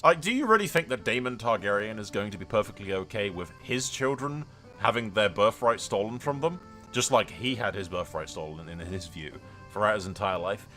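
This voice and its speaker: Sweary man commentating a game, bright voice. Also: lowish voice. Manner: sweary, emphatic, rambling, given to theatrical declarations. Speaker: gaming content creator and host. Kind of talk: excited gameplay commentary with in-character roleplay.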